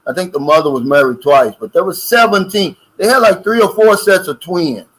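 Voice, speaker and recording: A man's voice: sits high, lively intonation, brisk, a bit bright, slightly rough, low-quality recording. An older American man co-hosting a casual livestream chat and reading out viewer comments in a folksy, drawling way. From United States. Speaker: co-host of a livestream.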